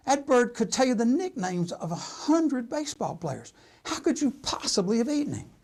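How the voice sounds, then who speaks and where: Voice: medium pitch, very animated, fairly quick, a little mellow, husky, voice pushed up. A storyteller voicing an incredulous man pleading with his best buddy, exasperated, emphatic and repeating himself.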